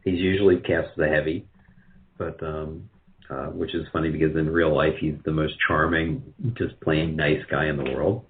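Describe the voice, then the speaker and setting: A man being interviewed as a guest, talking casually and hesitantly, with many filled pauses. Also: extremely low pitch, flat delivery, slight background noise. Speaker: radio drama producer, interview guest. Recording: muffled, lo-fi call audio.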